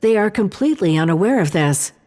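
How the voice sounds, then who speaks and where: A woman playing a calm, precise ship computer in an audio drama, explaining things in a measured, formal manner. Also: mid-range voice, close to an everyday voice. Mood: measured, analytical. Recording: long reverberant hall-like echo.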